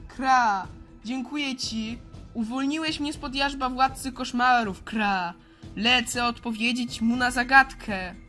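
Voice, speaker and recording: A woman voicing a grateful, forgetful male crow in a children's audio drama, croaking caws between phrases. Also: a little high, slow pace, pushed and bright, soft background music. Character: grateful, forgetful male crow creature, freed nightmare servant. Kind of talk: children's radio play acting.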